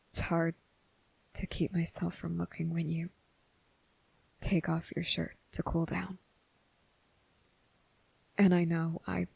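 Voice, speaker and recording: A woman voicing an anxious, guilt-ridden young male vampire in intimate ASMR roleplay, breathy and husky, halting and earnest. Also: lowish voice, a little mellow, no room sound, heavily acted, poor audio. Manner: halting, hesitant, earnest, pleading.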